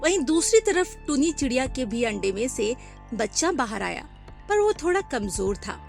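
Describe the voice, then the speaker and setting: A woman narrates a children's cartoon story in a clear, storytelling cadence. Her voice sits fairly high and is animated, and faint music plays underneath. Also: normal speed.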